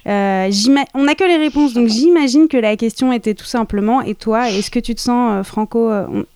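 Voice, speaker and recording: A woman radio interviewer asking measured, friendly questions of a guest. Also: fairly high pitch, darkish tone, smooth, dry recording, clean studio sound.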